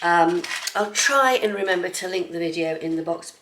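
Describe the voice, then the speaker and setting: A woman's voice: lowish voice, expressive, moderate pace. A woman with a cold, chatting casually in her kitchen for a sewing vlog, rambling with lots of ums. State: bunged up with a cold. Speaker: sewing vlogger. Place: home kitchen. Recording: echoey kitchen with faint background noise.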